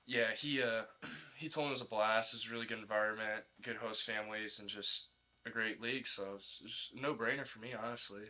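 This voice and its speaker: A young man with a slightly low, clearly bright voice. He talks like a college athlete in a casual interview. His phrasing is informal and easygoing. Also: steady tone, brisk, low-quality recording. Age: young adult. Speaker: college baseball player, podcast guest.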